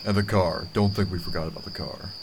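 Radio drama G-man, played by a man: skeptical, authoritative, low, heard over clear background noise. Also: steady tone, fast. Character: stern, skeptical male government agent. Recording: noisy, with cricket-like background.

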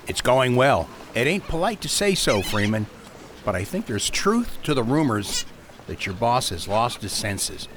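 A man acts a blunt harbor master in a period radio drama. He speaks in a folksy, confiding way at a middling pitch, over faint background noise. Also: sing-song, a little slow. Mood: sly gossip.

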